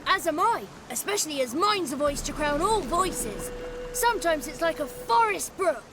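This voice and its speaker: A woman's voice: high voice, expressive, moderate pace. A woman voicing a cheeky, childlike male swamp creature in a video game, talkative and theatrical, with boastful, florid wordplay. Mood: boastful, animated. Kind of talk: video game character acting.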